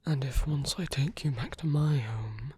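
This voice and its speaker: A performer voicing a seductive, protective villain in an intimate romantic ASMR roleplay, gently coaxing in a halting, husky voice that often drops to a whisper. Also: medium pitch. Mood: tender, coaxing. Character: seductive villain, protective, tenderly persuading an abandoned hero.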